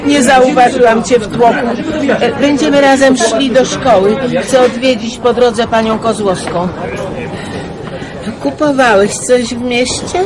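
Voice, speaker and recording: A woman's voice: a little high, steady tone, measured pace, crowd noise, close to an everyday voice, poor audio. A woman playing a kind, practical village mother in a radio play, speaking plainly. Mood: friendly.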